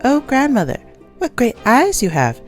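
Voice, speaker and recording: High-pitched innocent little girl. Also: huge pitch swings, moderate pace, darkish tone, faint music behind. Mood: astonished. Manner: exclaiming, wondering. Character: sweet, innocent, wide-eyed little girl.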